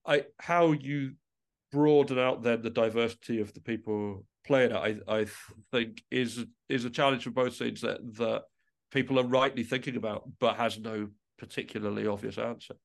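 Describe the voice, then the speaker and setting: A man's voice: mid-range voice, steady tone, normal speed, gravelly. A man being interviewed on a podcast, talking thoughtfully and feeling his way through sentences with repeated restarts. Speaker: interview guest, musician and composer.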